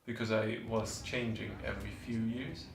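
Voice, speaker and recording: A man's voice: fairly low pitch, fairly even intonation, normal speed, echoey room, a little hiss or hum. A man in his twenties from southern Turkey, with a Turkish accent, speaking reflectively in an interview and hesitantly searching for words. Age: 20s. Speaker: student, documentary subject.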